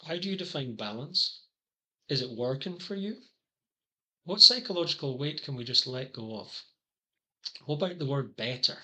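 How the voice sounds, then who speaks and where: A man's voice: medium pitch, expressive, normal speed, pauses often, pushed and bright. A middle-aged Scottish man presenting his psychology consultancy in a scripted monologue, earnest with wry, dry asides. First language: English.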